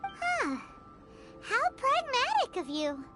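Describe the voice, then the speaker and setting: Cheeky pint-sized fairy sidekick: very high, sing-song, lively, over a loud game score. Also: female voice, slow pace, over music, exaggerated voice. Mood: playful. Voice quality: high, bouncy, childlike. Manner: teasing, lively, exclamatory. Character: small chirpy female fairy companion, excitable, cheeky. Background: game soundtrack.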